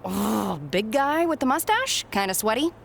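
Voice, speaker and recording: A woman's voice: a little high, lively intonation, normal speed, creaky, slight background noise. A woman voicing a dry, no-nonsense, exasperated head recruiter in a video game cutscene. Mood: brisk, puzzled. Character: brusque, exasperated female head recruiter at wrestling center.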